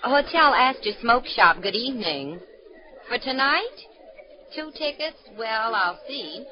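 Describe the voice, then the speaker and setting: An actress playing a chatty, inquisitive hotel shop clerk with a lilting manner, in 1940s radio drama style. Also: female voice, on the high side, lively intonation, slow pace, background noise. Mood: courteous, businesslike. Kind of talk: 1940s American radio acting. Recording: dull 1940s radio broadcast recording.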